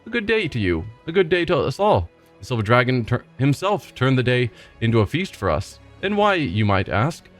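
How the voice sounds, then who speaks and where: A man's voice: medium pitch, big pitch swings, normal speed, few pauses, natural voice. A man voicing a cheerful, simple-minded male village fisherman who rambles and repeats himself proudly. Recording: faint game music behind.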